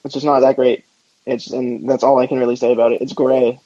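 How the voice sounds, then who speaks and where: A man's voice: medium pitch, level tone, a little fast, warm tone, no echo. A man chatting casually and informally as co-host of a sports podcast, giving his opinions. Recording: dull, lo-fi audio.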